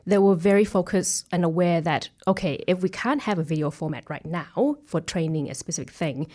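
A woman's voice: on the low side, brisk, a little mellow. A woman from Thailand answering questions casually as a guest in an interview. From Thailand, from Bangkok. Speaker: interview guest, web developer at a software company.

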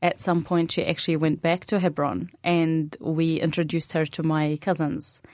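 Palestinian woman, native arabic speaker, young adult, low voice, soft-spoken, many pauses, dry studio sound. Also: little pitch movement, no room sound. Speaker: interview guest. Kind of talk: radio interview conversation.

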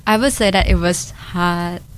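A woman from Thailand whose first language is Thai, a student answering interview questions in English and pausing often to think. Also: fairly low pitch, a little hiss or hum. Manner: thoughtful, with hesitant fillers.

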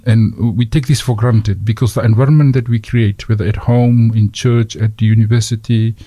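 A man with a Fijian accent hosting a community radio chat, halting with many fillers and slipping into Fijian phrases. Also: lowish voice, steady tone, dark tone, dry recording. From Fiji. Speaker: radio host.

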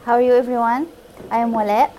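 A woman's voice: on the high side, fairly even intonation, fast, warm tone, slight background noise, low-quality recording. A woman from Egypt co-teaching a bread workshop, speaking hesitant, simple English with fillers. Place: community hall kitchen.

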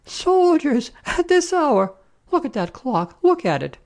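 Storybook café woman, played by a man: shrill, indignant scold, pitched high and highly animated. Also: slow pace, exaggerated voice. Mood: alarmed. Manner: screaming, scolding, repeating herself.

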